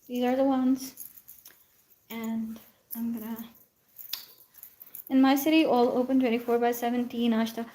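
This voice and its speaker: Young woman, native Urdu speaker, casual laughing stream chatter, slightly high, walking outdoors on a phone with heavy background noise. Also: little pitch movement, a little slow, long pauses, mellow. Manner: chatty, laughing, self-teasing, switches into Hindi and Punjabi. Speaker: vlogger streaming live. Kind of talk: casual live-stream chat while walking. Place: outdoors at night, walking around her building.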